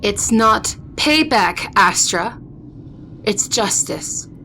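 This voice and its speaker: A woman's voice: mid-range voice, lively intonation, bright, forceful tone, slight background noise, exaggerated voice. A woman playing an arrogant, ruthless vampire princess in an audio roleplay, speaking in a haughty, threatening way. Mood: mocking, superior. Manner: haughty, threatening, slow deliberate delivery. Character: arrogant vampire princess, ruthless eldest sister, war-hungry.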